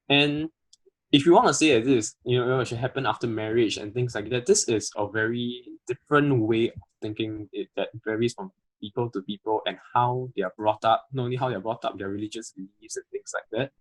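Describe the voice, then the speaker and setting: A man's voice: medium pitch, rough recording. A man in his twenties with a Singaporean accent, a panel guest who repeats words while working out his point. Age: 20s. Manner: halting, repeats words as he thinks. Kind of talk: casual panel discussion.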